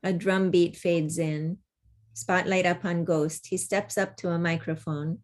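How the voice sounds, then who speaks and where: A woman's voice: fairly low pitch, fairly even intonation, unhurried. A woman taking part in a virtual table read as narrator, speaking over a video call. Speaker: table-read narrator reading stage directions. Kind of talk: scripted stage-direction narration, then casual discussion.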